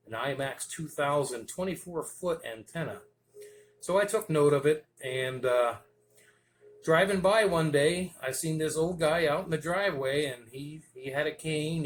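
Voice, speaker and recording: A man hosting a hobby video, telling stories in an informal, folksy and casual way. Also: on the high side. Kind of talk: casual vlog storytelling. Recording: clear background noise.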